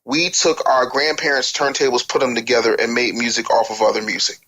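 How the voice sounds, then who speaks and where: Interview guest, medium pitch, passionate and emphatic, drives points home with rhetorical questions. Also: little pitch movement, a little fast, a bit bright, low-quality recording. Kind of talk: passionate interview talk about the music business.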